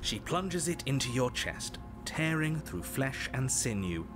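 Game casting: sardonic, deliberate narrator, with music behind him. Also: male voice, medium pitch, big pitch swings. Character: dry, exasperated male narrator. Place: game audio over background music.

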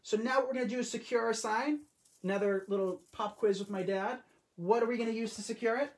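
Brief: young male craft host, early twenties, relaxed and chatty, recorded at home on a camera over the table. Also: sits high, quick pace. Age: 20s. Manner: chatty, loose and conversational with frequent restarts. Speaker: host of a live crafting stream. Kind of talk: casual live-stream craft demo. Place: home craft room, indoors. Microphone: camera or phone on a tripod over the table.

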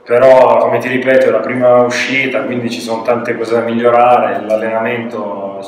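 A man's voice: medium pitch, moderate pace. A young man, a football player, answering questions at a post-match press conference in a plain, matter-of-fact way. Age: young adult. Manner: flat, matter-of-fact, even. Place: press room with a sponsor backdrop. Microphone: table mic on a stand. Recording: echoey room, mic at a distance.

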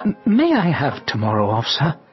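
A meek, underpaid clerk in a radio drama, hesitant and deferential. His intonation is lively, over clear background music on slightly dull audio. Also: mid-range voice, normal speed. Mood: meek, nervous. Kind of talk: radio play acting.

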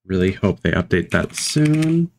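A man chatting casually as a livestreamer, muttering low-key asides and commentary into a desk microphone. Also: sing-song, a little slow, gravelly, a little hiss or hum.

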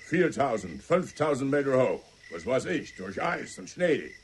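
An actor playing a commanding American scout who speaks in a theatrical way, in a radio play. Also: male voice, mid-range voice, very animated, measured pace, a little hiss or hum. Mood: grave. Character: gruff American scout Mister Monsoon, commanding.

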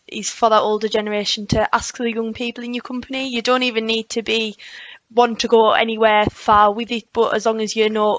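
Young female panelist, fairly high pitch, even intonation, casual, headset. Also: rough recording. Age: young adult. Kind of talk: casual explanatory talk. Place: indoor room, video call.

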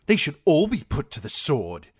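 A man voicing a spoiled, cruel teenage boy king who whines and shouts his demands. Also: wildly swooping pitch, normal speed, slightly rough, no room sound, studio quality, exaggerated voice. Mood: demanding. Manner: shrill, whiny, shouting demands.

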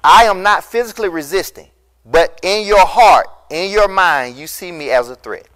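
A middle-aged man preaching a recorded sermon as pastor in an empty church hall, impassioned and emphatic, rising to loud peaks, on a lapel mic. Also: high-pitched, animated, normal speed.

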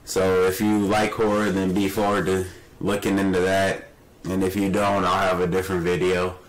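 Stuffy-nosed guy chatting through a horror game, really low voice. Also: moderate pace, poor audio. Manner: relaxed, chatty. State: congested, stuffy nose. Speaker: gaming youtuber. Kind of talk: casual let's-play commentary.

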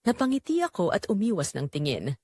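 Expressive woman narrating romance, lively pitch. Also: fairly quick, dry recording, studio quality. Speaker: story narrator. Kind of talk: scripted first-person romance narration.

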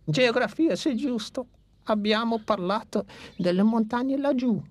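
An old dinosaur teacher. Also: female voice, a little high, unhurried. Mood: weary. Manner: patient, lecturing. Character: old brown male dinosaur teacher, fussy inventor. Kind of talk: dubbed cartoon acting.

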